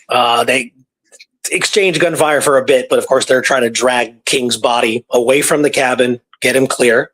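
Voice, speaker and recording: A man co-hosting a radio show, telling a historical story informally, with halting delivery and phrases repeated for emphasis. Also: mid-range voice, a bit bright, low-quality recording.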